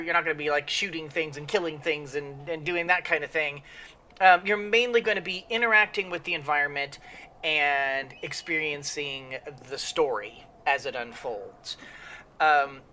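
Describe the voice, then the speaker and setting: A man's voice: fairly high pitch, sharp tone, slight background noise. A man hosting a gaming channel, rambling through casual let's-play commentary with lots of fillers, sniffly from a cold. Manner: rambling with many fillers and restarts.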